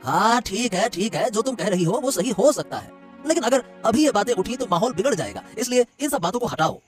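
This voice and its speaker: A placating household servant played by a man with a very animated, swooping delivery. He is chatty and excitable, waving away worries in cartoon style over music. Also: sits high, a little fast. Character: meddling, placating male servant of a rich household. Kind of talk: Hindi cartoon story dialogue.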